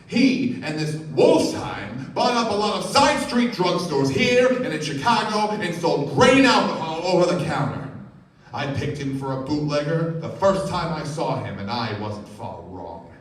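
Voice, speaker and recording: A man's voice: a little high, very animated, a little slow. Man acting a blustering rich husband, echoey stage. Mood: angry, insistent. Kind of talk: live radio play acting. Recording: echoey stage, distant microphone.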